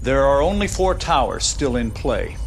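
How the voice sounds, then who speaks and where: An actor playing a dutiful military intelligence officer, giving a formal, crisp scripted briefing in a video game. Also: male voice, mid-range voice, animated, normal speed, soft background music, lightly acted. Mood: businesslike.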